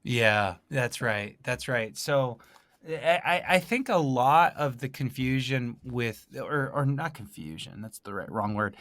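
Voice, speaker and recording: A man hosting a casual interview, thinking aloud in a halting way and often rephrasing himself. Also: mid-range voice, animated, a bit bright, dry recording. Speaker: podcast host.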